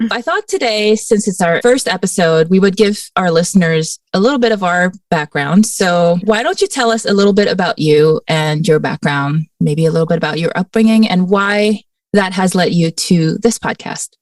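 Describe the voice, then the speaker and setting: A woman from Mauritius co-hosting a podcast, asking questions in a measured, friendly way. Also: lowish voice, no room sound. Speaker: podcast co-host. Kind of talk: casual conversational podcast chat. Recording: dry and slightly distant.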